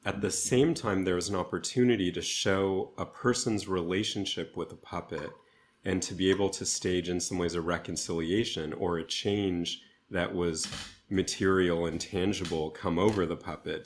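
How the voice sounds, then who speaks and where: A man speaking reflectively as an interview guest, with thoughtful, deliberate phrasing. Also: low voice, steady tone. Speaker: interview guest, theatre artist.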